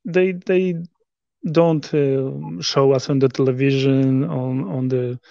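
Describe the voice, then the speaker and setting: A man in his thirties from Poland with a Polish accent, speaking halting English with many restarts in a video call interview. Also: mid-range voice, steady tone, gravelly, dark tone, dry recording, muffled recording. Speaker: interview guest, rower. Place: living room at home.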